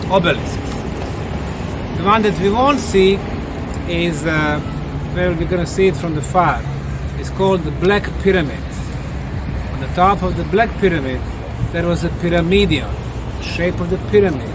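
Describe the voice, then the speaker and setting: Male tour lecturer, high register, unhurried and emphatic delivery with pauses, recorded outdoors. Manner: deliberate, emphatic short phrases with pauses. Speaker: researcher guiding a tour group. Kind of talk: explanatory on-site lecture to a group. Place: outdoors at a quarry site. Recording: very noisy outdoor location sound.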